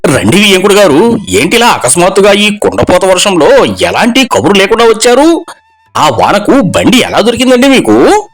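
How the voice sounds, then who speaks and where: An actor voicing a proud, welcoming village father-in-law in an animated storybook. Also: sits high, normal speed, heavily acted.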